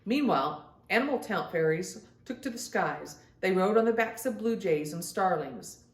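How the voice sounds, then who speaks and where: A woman tells a story for children in a roomy, echoing space. Her pitch sits in the middle for a woman. She reads expressively, as a storytime host speaking to young kids. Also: expressive, moderate pace. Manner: addresses young children directly, acts out dialogue.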